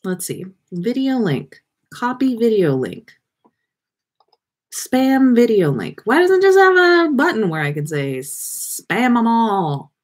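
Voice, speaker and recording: A woman's voice: a little high, big pitch swings, takes it slowly. A woman hosting a casual livestream, chatting with viewers in a playful, rambling way as she thinks aloud. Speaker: livestream podcast host. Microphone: webcam livestream setup.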